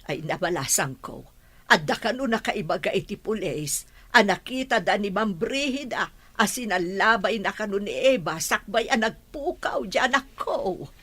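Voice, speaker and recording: Woman acting a husky, animated worried mother. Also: mid-range voice, moderate pace. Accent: Ilocano. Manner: anxious, questioning. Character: worried village mother of a missing daughter. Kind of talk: Ilocano radio comedy drama acting.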